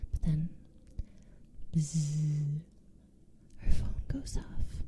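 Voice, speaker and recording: A woman's voice: low-pitched, little pitch movement, drawn-out words, mellow. A woman whispering a casual recap of a TV series, with lots of "like" and "you know".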